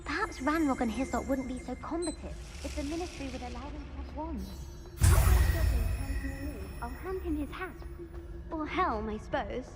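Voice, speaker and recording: A woman's voice: high voice, animated, normal speed, over loud music. A woman playing a chatty wizard school student in video game background dialogue. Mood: boastful. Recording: loud background ambience.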